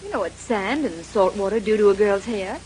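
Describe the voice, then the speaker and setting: A woman's voice: medium pitch, animated, a little fast, darkish tone, natural voice. An actress playing a spoiled, petulant rich girl of nineteen in a 1930s radio mystery. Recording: noisy old radio drama recording.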